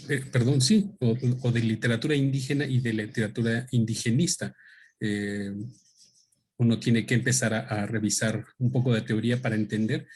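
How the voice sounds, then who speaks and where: A man gives an unscripted talk about his book into a microphone, thinking aloud with many pauses and restarts. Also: fairly low pitch, darkish tone. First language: Spanish. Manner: thoughtful, hesitant, with many fillers and restarts. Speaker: author presenting his book.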